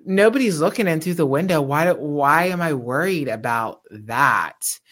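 A man co-hosting a podcast in casual chat. He has a naturally high voice and talks reflectively, growing animated when he stresses a point. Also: moderate pace. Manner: reflective, self-aware, animated when emphatic. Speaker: podcast co-host.

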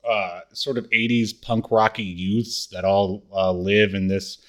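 A man's voice: on the low side, flat delivery, measured pace. A man co-hosting a podcast in casual chat, with a playfully grandiose, joking way of talking. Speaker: podcast co-host.

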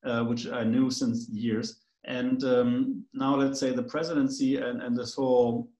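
A man's voice: medium pitch, flat delivery, a little slow, mellow. German-accented man, hesitant, in an echoey room. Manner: rambling, hesitant, many fillers. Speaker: guest. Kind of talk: casual conversation.

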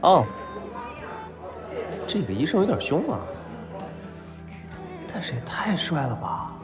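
A man playing a flustered, lovestruck young patient in an audio drama, hesitant and stammering, given to melodramatic excitement. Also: on the high side, slow pace. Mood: smitten, flustered. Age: young adult. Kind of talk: audio drama acting with inner monologue. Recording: roomy echo, music behind.

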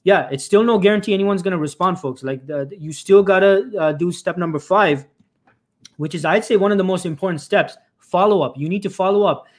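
Fast-talking emphatic career coach man. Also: high voice. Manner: emphatic, repeats phrases, often says "folks" and "right?"; frequent restarts and filler "uh". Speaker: career coach hosting a live stream. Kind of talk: live-stream advice talk to job seekers.

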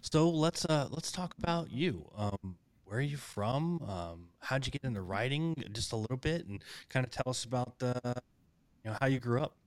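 A man's voice: medium pitch, expressive, moderate pace, no echo. An American man hosting a casual interview, rambling with many fillers and frequent laughs. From United States. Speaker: podcast host.

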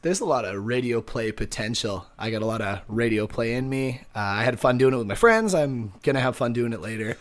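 A young man co-hosting a casual podcast, excitable and laughing often. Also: medium pitch, a little fast, hardly any pauses. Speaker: podcast co-host.

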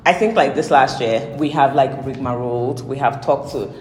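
A woman's voice: very deep voice, moderate pace, a little mellow, a little hiss or hum. A young woman co-hosting a podcast, chatting casually and teasing her co-host in playful banter. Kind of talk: casual chat. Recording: roomy echo with faint ambience.

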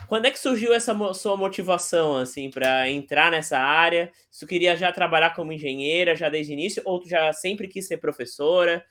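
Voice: medium pitch, brisk, hardly any pauses, a bit bright. Podcast host, hesitant, restarts words, casual questions.

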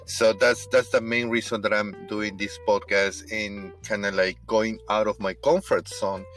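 A man's voice: on the low side, level tone, a little slow, fairly bright tone, faint music behind. A man in his thirties from Peru with a thick Peruvian Spanish accent, whose first language is Spanish, hosting a casual podcast and hesitating often, with many fillers and restarts. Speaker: podcast host, restaurant manager. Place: in a car while driving.

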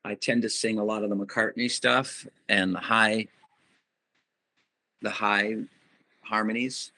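A middle-aged man, a band singer, giving reflective interview answers and pausing often to find his words. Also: on the low side, level tone, sharp tone. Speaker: musician guest, band singer.

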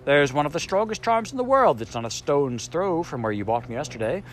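A man's voice: on the high side, sing-song, fairly quick, a little hiss or hum, voice pushed up. A man voicing a grumpy, self-important magic sand creature in an audiobook, speaking in a cross, snappish way. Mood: anxious, coaxing. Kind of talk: audiobook character voice.

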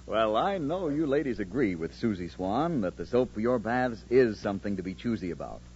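A man's voice: on the low side, very animated, normal speed, a little mellow, faint music behind. A man announcing in a polished, persuasive 1940s radio commercial style. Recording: muffled, noisy old radio recording.

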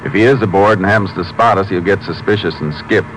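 An actor playing a calm, decisive Texas Ranger, terse and businesslike, in a 1950s radio drama. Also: male voice, low-pitched, quick pace. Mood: calm, thoughtful. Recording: very noisy old 1950s radio recording.

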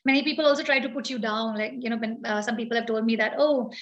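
A woman, speaking as an interview guest, pausing often with ums and uhs as she thinks aloud. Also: on the high side, highs cut off. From India. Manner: thoughtful, hesitant, many ums and uhs. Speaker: guest, architect and founder of a design collective. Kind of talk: reflective interview answer.

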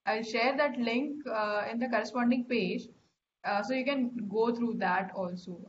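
A woman's voice: fairly high pitch, normal speed, muffled recording, a little hiss or hum. A woman hosting an interview, polite and hesitant, with many fillers. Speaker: interview host.

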